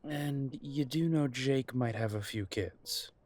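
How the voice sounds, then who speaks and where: A blunt grown son back from soldiering, played by a man in an audio drama. He speaks slowly at a middling pitch, direct yet worried, hesitating when feelings come up. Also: darkish tone, no room sound. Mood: wry, embarrassed.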